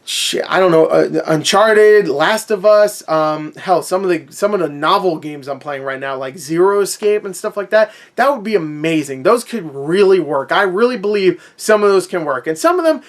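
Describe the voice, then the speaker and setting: High-voiced man on a casual rant. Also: lively intonation, normal speed, hardly any pauses. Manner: sarcastic, sweary, conversational. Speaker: movie review vlogger.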